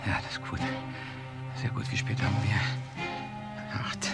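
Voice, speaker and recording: An actor playing a desperate, controlling professor in a crime radio play, speaking in a deliberate, halting, insistent way. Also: male voice, high-pitched, sing-song, music in the background, exaggerated voice. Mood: urgent, scheming. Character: desperate, controlling professor who confesses to killing his wife.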